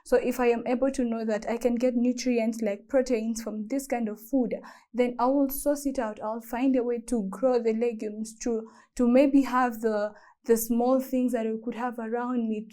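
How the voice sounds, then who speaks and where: A woman's voice: a little high, fairly even intonation, normal speed, warm tone. A young woman on a panel, explaining her public health work in an earnest way. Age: young adult. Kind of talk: panel discussion.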